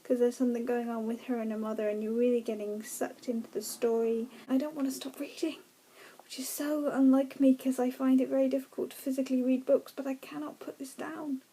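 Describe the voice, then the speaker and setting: A woman's voice: high-pitched, level tone, a little fast, dark tone, slightly rough. A woman giving casual, gushing reactions as she reads through a book, with an enthusiastic way of talking.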